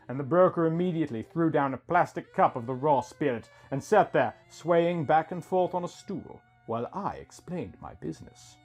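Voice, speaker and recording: A man's voice: fairly high pitch, husky. A man reading a scripted first-person story as its narrator. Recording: music bed under the voice. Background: music.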